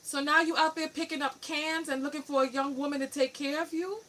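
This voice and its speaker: A woman's voice: high voice, steady tone, brisk, fairly bright tone. A woman from New York City with a New York accent, hosting a live coaching call and pressing a caller with firm questions. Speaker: radio show host and relationship coach.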